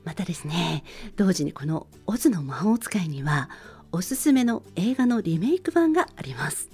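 A woman hosting a radio show, speaking politely and gently in a prepared solo narration. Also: on the low side, sing-song, moderate pace, husky, faint music behind.

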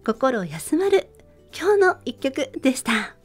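A woman hosting a radio show, speaking politely and gently in a prepared solo narration. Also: high voice, big pitch swings, slow pace, soft background music.

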